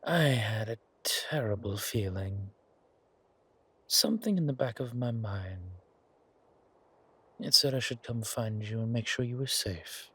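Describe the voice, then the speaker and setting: A man playing a suave, centuries-old vampire in a horror ASMR roleplay, languid and genteel with quiet menace and a husky, breathy voice. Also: medium pitch, animated, measured pace, long pauses, heavily acted. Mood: quietly menacing.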